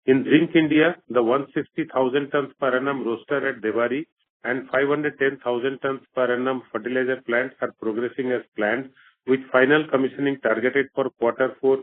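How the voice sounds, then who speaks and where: Exec guy reading out company results on a conference call, formal n measured, thin phone-like sound, dry. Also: medium pitch, fairly even intonation, no echo. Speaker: company executive. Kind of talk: scripted earnings call presentation. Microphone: phone or conference call line.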